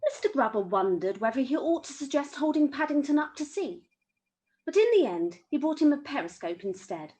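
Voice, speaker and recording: A woman's voice: high-pitched, big pitch swings, brisk, warm tone, rough recording. A woman reading a children's story aloud as an amateur storyteller.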